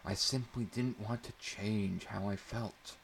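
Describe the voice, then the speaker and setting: Narrator, male: low and creaky, measured dramatic delivery for first-person fiction. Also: fairly even intonation, slight background noise. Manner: measured, theatrical reading. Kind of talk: dramatic scripted story narration.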